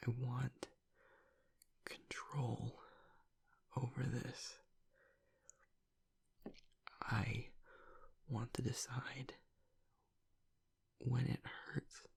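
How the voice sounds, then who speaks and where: A guarded werewolf boyfriend, acted by a man in an intimate audio roleplay. His voice is a very husky, heavily creaking half-whisper. He speaks slowly and haltingly, vulnerable and grieving beneath a tough front. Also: mid-range voice, heavily acted. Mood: grieving, bitter. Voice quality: breathy, gravelly whisper. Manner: halting, reluctant, haltingly confessional.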